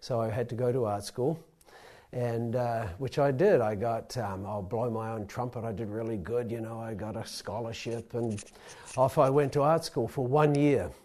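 A man's voice: medium pitch, moderate pace, darkish tone. A middle-aged man with a New Zealand accent telling a rambling, self-deprecating personal story to a live audience.

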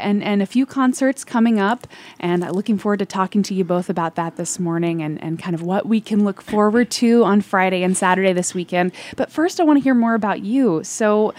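A woman hosting a morning radio interview, friendly and halting, often repeating words as she asks questions. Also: mid-range voice, darkish tone. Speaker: radio host. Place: radio studio. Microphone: studio microphone.

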